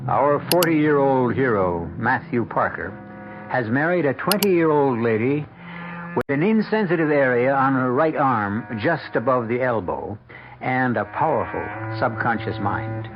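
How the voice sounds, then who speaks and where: A man narrates a 1970s radio mystery with wry, theatrical delivery and animated pitch. He places his phrases deliberately. The old broadcast sound is dull, with music behind him. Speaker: host and narrator.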